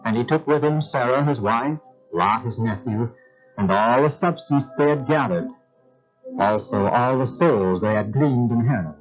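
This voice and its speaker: A man's voice: medium pitch, moderate pace. A man narrating a scripted biblical drama in a solemn storytelling manner. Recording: muffled sound with music underneath. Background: music.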